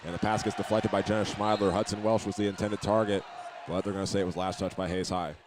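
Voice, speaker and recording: Male basketball play-by-play announcer, lowish voice with little inflection, plain delivery with the odd stumble, over noisy gym sound. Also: level tone, fast talker. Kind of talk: live basketball play-by-play commentary. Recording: noisy gym ambience behind the voice.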